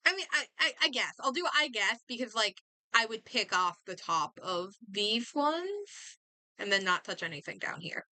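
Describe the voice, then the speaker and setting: A woman's voice: a little high, big pitch swings, normal speed, sharp tone, no room sound. A woman game streamer chatting casually as she plays, muttering and thinking aloud to herself. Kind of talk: casual livestream chat while playing a game.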